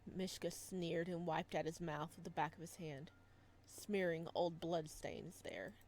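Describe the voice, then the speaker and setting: A woman narrating a visual novel playthrough, with expressive, emotionally invested reactions. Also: fairly low pitch, unhurried, a little hiss or hum. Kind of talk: dramatic read-aloud with excited reaction commentary.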